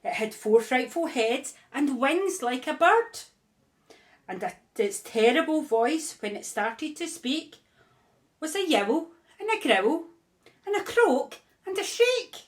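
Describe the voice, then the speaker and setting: Woman reading a picture book aloud, slow pace, fairly high voice. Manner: rhythmic, expressive read-aloud. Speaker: storybook reader.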